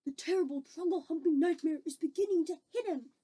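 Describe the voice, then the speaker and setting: A woman voicing a gentle, cautious male giant in a children's storybook, explaining things in quaintly muddled grammar. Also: very high-pitched, a little fast, voice pushed up. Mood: hushed caution. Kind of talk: children's storybook read-aloud.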